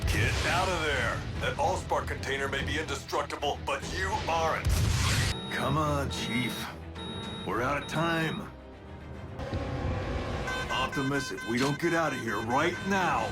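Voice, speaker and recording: A man voicing a brash robot warrior in an animated action series, laconic and wry with tough-guy swagger. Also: medium pitch, a little slow, pauses often, lightly acted. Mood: urgent. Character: brash robot warrior, gruff cocky maverick soldier. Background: loud dramatic music and soundtrack.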